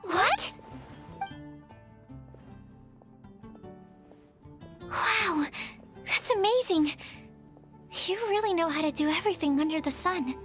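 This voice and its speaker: An actress playing a gentle, nervous, supportive young woman in a video game. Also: extremely high pitch, normal speed, faint music behind. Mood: impressed.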